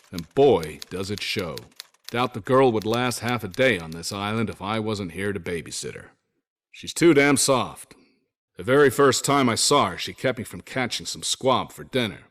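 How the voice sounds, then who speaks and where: A man's voice: fairly low pitch, fairly bright tone. A male actor playing a stranded 1940s American soldier who narrates letters home in a wry, folksy, wisecracking way. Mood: exasperated, reassuring. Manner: folksy, wisecracking, old-fashioned slang. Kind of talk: scripted first-person letter narration, in character.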